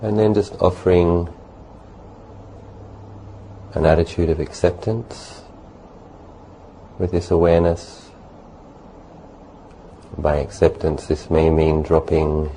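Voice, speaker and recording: A man leading a guided meditation as a teacher, speaking gently and unhurriedly in short phrases with long pauses. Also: rumbling low, steady tone, rough recording. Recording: faint background noise.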